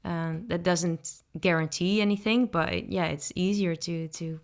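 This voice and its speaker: Dutch woman in her thirties, a podcast guest, clear voice, easygoing and reflective. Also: fairly low pitch, unhurried. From Netherlands. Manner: relaxed, thoughtful, frequent ums and restarts. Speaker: guest singer-songwriter. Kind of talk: casual interview answers.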